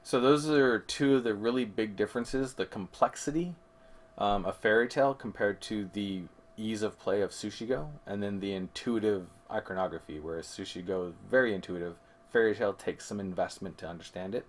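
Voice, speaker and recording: A man's voice: fairly low pitch, a little fast. A man co-hosting a board game review, explaining things methodically in casual conversation and correcting himself as he goes. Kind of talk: casual comparison chat.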